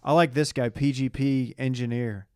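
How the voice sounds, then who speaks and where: A man's voice: mid-range voice, steady tone, normal speed, a little mellow, clear, resonant tone, no echo, studio quality. A man co-hosting a podcast with casual, joking banter. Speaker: podcast co-host.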